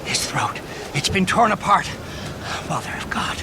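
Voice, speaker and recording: An actor playing a fearful, pious villager on a hunt in a period audio drama, speaking anxiously. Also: male voice, high-pitched, big pitch swings, a little slow, noisy background. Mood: horrified.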